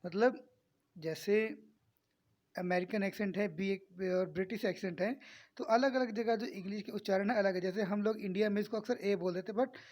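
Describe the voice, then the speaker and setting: A woman with an Indian accent teaching an English lesson, explaining step by step with deliberate, drawn-out enunciation. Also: medium pitch, darkish tone.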